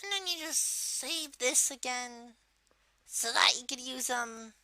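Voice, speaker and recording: Someone putting on the voice of a young cartoon turtle villain, exaggerated and swooping, while presenting a software tutorial. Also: sits high, slow, a little hiss or hum, full character voice. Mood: instructive. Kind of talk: software modding tutorial, improvised walkthrough.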